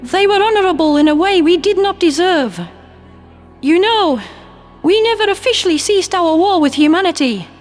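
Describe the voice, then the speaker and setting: A man's voice: very high-pitched, animated, normal speed, faint music behind, pitched above the performer's own voice. A man voicing a blunt, solemn female alien leader giving a formal speech in a sci-fi audio story. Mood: solemn, resolute.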